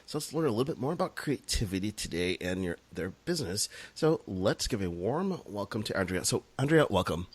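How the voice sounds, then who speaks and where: Husky man hosting a podcast. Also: lowish voice, big pitch swings, fairly quick, few pauses. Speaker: podcast host. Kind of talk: casual interview.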